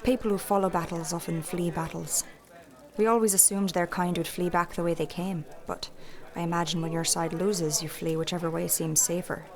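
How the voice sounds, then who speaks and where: A woman's voice: fairly low pitch, fairly even intonation, moderate pace, a crowd in the background, natural voice. A woman playing a shrewd, candid innkeeper in a fantasy audio drama, with a folksy, thoughtful way of talking. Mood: confiding, shrewd. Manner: plain-spoken, folksy, thoughtful.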